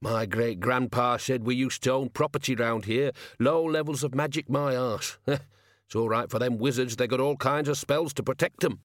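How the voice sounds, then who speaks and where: A man's voice: medium pitch, steady tone, normal speed, dry recording, studio quality, close to an everyday voice. A man voicing a resentful working-class cart driver who mutters and grumbles colloquially in an audiobook. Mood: resentful grumbling. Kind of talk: audiobook character voice.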